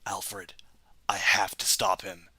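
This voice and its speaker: A man's voice: sits low, flat delivery, slow, bright, forceful tone, breathy edge, whispered, full character voice. A man playing a grim, driven masked vigilante, terse and commanding, in an audio drama. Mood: grim resolve.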